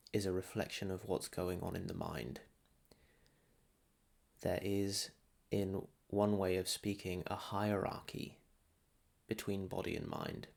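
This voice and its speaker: A man guides a meditation in a deep voice with a creaky, fried edge. He speaks very slowly and soothingly, setting out short phrases with long pauses between them. The delivery is calm instruction, like a teacher leading practice.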